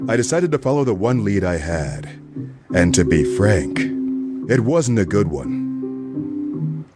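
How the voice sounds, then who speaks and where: A man's voice: lowish voice, very animated, takes it slowly, loud music behind the voice. An actor playing a wry, wisecracking hard-boiled male detective in a noir radio play, both narrating and in dialogue. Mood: wry, brooding.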